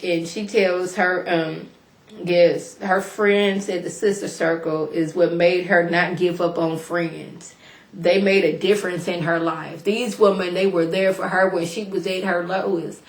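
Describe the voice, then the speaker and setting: A woman casually recapping a reality show episode for her viewers in a chatty, slangy way, a little hoarse from a cold. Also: lowish voice. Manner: chatty, animated, slangy, addresses viewers directly. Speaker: YouTube reality TV reviewer. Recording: echoey room.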